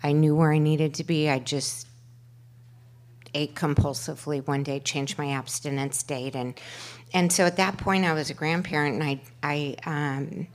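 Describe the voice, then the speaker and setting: A middle-aged woman with a loud voice sharing her story on a microphone at a twelve-step meeting, halting, with many fillers and restarts. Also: sits low, steady tone. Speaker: panel speaker at a twelve-step workshop. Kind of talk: personal sharing at a recovery meeting. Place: meeting room. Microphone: handheld microphone.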